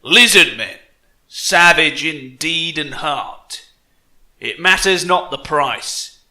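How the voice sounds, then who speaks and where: A man's voice: a little high, animated, takes it slowly, pushed and bright, full character voice. A man voicing an embittered, shaken adventurer in a fantasy tale, speaking with grim, emphatic intensity. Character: shaken, embittered adventurer back from deadly jungles. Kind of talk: fantasy audiobook character acting.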